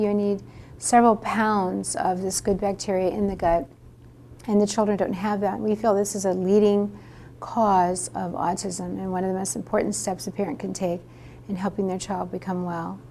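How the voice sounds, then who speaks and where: Woman author explaining her program to camera; flat, mellow, measured, faint background hiss. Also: medium pitch. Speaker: author and diet program founder.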